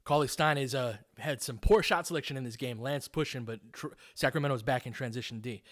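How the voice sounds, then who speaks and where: A man's voice: medium pitch, brisk. A man doing live basketball play-by-play, speaking in clipped, informal phrases and adding tactical analysis as he goes.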